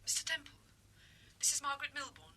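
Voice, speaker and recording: A woman's voice: high-pitched, fairly even intonation, fast, sharp tone, a little hiss or hum. A woman acting in a radio drama as an anxious, reserved married lady calling on the telephone. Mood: hesitant.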